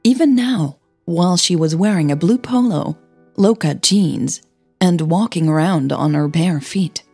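A woman's voice: fairly low pitch, a little slow, a little mellow, breathy edge, soft background music. A woman narrating a scripted audio story as a podcast host.

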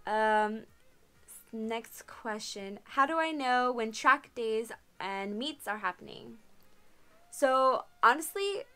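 Young woman, twenties, casual vlogger, slightly high voice, chatty and rambling, webcam sound. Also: expressive, slow pace, sharp tone, smooth, soft background music. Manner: chatty, frequent "um" and "like", laughs often. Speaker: car vlogger answering viewer questions. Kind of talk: casual Q&A vlog. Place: small bedroom, indoors.

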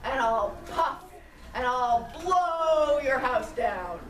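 A woman's voice: sits high, expressive, extremely slow, slight background noise, exaggerated voice. A woman voicing the big bad wolf in a puppet show, raising her voice in a chanting, demanding tone. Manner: loud, rising chant. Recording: echoey room, far from mic.

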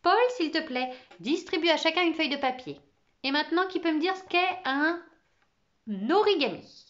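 A woman's voice: high voice, moderate pace, a bit bright, lo-fi sound, pitched above the performer's own voice. A woman reading a children's storybook, voicing a cheerful, exuberant, eccentric female teacher with sing-song, enthusiastic exclamations. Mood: brisk, cheerful.

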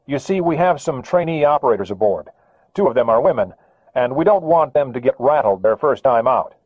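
A smug American radar controller, as a male narrator puts him on, talks down to a rival pilot in falsely courteous, unhurried tones. His voice comes through a narrow, tinny radio channel, dry and close. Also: mid-range voice, husky, no echo, voice pushed up. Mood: mock polite. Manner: calm, falsely polite, needling.

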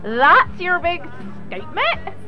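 Outspoken activist lady, high voice, swoopy, radio drama, muffled. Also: wildly swooping pitch, takes it slowly, music in the background, exaggerated voice. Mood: indignant. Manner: forthright. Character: Megan, outspoken, combative woman activist. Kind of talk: radio play acting.

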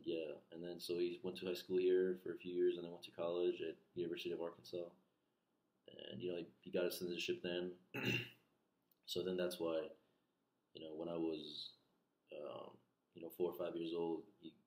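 A man's voice: sits low, creaky, a little mellow. A young man from Iran whose first language is Farsi, answering interview questions in a hesitant, casual way with many fillers, recorded on a laptop in a small bedroom. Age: young adult. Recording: echoey room.

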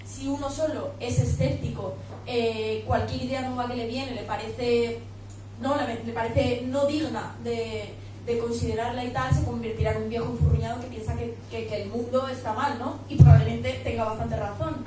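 A young woman from Spain talks to a crowd in a pub, friendly and chatty, with plenty of fillers. Her voice sits a little high. It is picked up from across an echoey room with a noisy background. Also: steady tone, moderate pace, mellow. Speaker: book author and speaker. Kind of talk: public talk presentation. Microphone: handheld microphone. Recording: echoey room, far camera.

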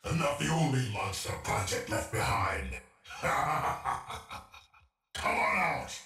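An actor voicing a haunted, vengeful cyborg ghost in a video game, with a robotic effect and fragmented, brooding muttering. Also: male voice, medium pitch, sing-song, slow pace, gravelly, recorded from a distance, full character voice. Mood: unhinged. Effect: robotic, glitchy processing. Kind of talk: video game character voice lines. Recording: echoing game audio over music.

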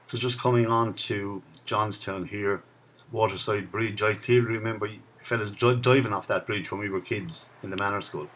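Man in his sixties, fairly low voice, chatty walking commentary, outdoor camera mic, faint town ambience. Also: fairly even intonation, moderate pace, fairly bright tone, a little hiss or hum, low-quality recording. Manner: chatty, reminiscing as he walks. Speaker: video blogger. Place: outdoor riverside path in a town.

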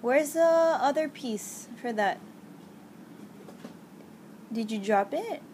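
A woman's voice: on the high side, lively intonation, slow pace, darkish tone, slight background noise. A young woman filming her godchild at home, asking short, encouraging questions in a playful way. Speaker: godmother filming a child's pretend cooking show. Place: living room at home. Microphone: camera held by the speaker.

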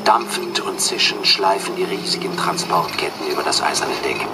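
A man playing a solemn nature documentary announcer, reading formal scripted narration in a measured manner. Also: sits low, heavy background noise, lightly acted. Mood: grave.

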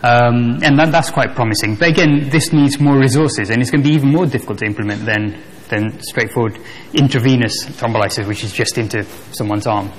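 Calm panelist man, measured answers. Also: medium pitch, low-quality recording. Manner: fluent, measured explaining. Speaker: stroke doctor on a panel. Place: large conference hall stage. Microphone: table mic.

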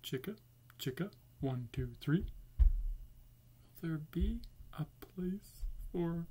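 A man's voice: a little high, animated, takes it slowly, mellow, breathy edge, heavily acted. A man voicing a small, worried, childlike number zero in a plaintive singsong chant for a storybook. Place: small indoor room.

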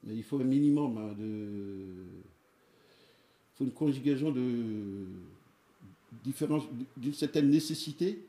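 A man who runs open music sessions, explaining hesitantly with many pauses. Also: mid-range voice, mellow, echoey room. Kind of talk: conversational interview answer.

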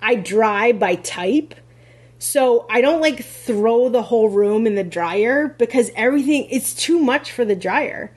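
A woman's voice: on the high side, expressive, measured pace, husky. A woman co-hosting a podcast, talking casually and chattily with lots of fillers and small laughs. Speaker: podcast co-host, rental host.

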